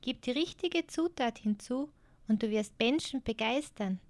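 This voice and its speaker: A woman voicing a small, dirty but wise girl in an audio story, speaking gently and softly. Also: fairly high pitch, lively intonation, measured pace, no room sound. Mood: gentle. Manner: gentle, soft-spoken storytelling. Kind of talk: audiobook character voice.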